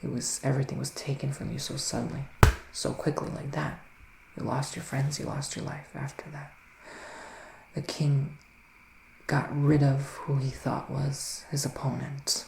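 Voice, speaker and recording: A soothing male witch played by a man gives an intimate ASMR psychic reading. His soft, breathy voice crackles with fry and often drops into a whisper. He speaks earnestly and haltingly, straight to the listener, in a roomy, echoing space with faint noise. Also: mid-range voice, level tone. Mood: hushed, soothing. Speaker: ASMR performer playing a psychic reader. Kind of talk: ASMR roleplay, improvised tarot reading.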